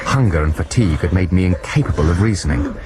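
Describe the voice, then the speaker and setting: Deep-voiced reflective adventure narrator. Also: male voice, expressive. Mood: weary, dreamy. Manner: measured, literary, vivid. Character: reflective male narrator recalling his underground expedition. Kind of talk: scripted first-person radio narration. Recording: 1990s radio broadcast with music behind.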